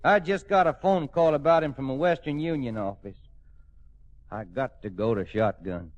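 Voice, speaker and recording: An actor playing a folksy old cowboy suitor with a rustic drawl, in 1940s radio comedy style. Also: male voice, medium pitch, moderate pace, close to an everyday voice. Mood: wistful farewell. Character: folksy old Texan cowboy suitor, gruff, horse-loving. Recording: dull lo-fi old radio recording.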